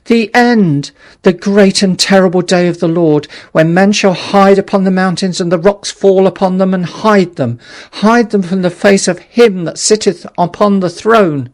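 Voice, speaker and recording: A man's voice: high-pitched, normal speed, pitched above the performer's own voice, natural voice. A man voicing a distraught, half-mad curate who wails and preaches doom in an audiobook story. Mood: doom-laden frenzy. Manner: frantic, wailing, biblical preaching.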